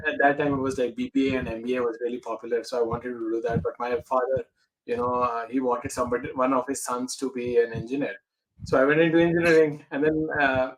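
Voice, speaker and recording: A man's voice: mid-range voice, slight background noise, rough recording. A man in his thirties hosting a podcast interview, talking in a hesitant way, with many "uh"s and restarts. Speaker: podcast host.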